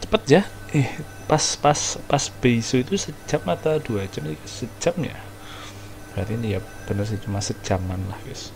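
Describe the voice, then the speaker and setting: A man's voice: mid-range voice, animated, a little fast, over music. A man with an Indonesian accent giving casual let's play commentary on a video game. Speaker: gaming video creator.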